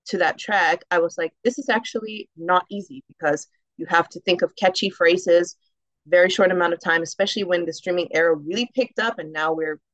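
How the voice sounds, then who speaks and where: Deep-voiced woman, lively and laughing. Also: no echo, low-quality recording. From United States. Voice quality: deep, rich, round alto voice. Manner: animated, laughs often, fillers like "um" and "like". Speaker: singer, interview guest. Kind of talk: casual interview conversation.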